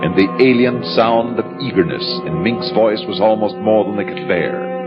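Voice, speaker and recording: Low-voiced male radio drama narrator. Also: moderate pace. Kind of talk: dramatic scripted radio storytelling. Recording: dull old radio broadcast, loud music.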